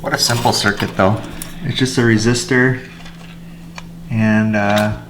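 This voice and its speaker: A man's voice: on the low side, fairly even intonation, a little slow. A man chatting casually as he works on a repair in a home how-to video. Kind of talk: casual chat while working. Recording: clearly noisy, clattering tools.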